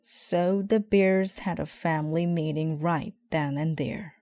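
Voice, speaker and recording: A woman reading a children's story aloud in a measured, expressive way. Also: sits low. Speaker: storybook reader.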